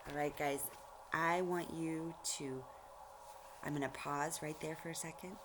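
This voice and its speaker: A woman reading a storybook aloud like a teacher, pausing to explain things directly to young listeners. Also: sits low, steady tone, background noise. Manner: explanatory, addresses children directly.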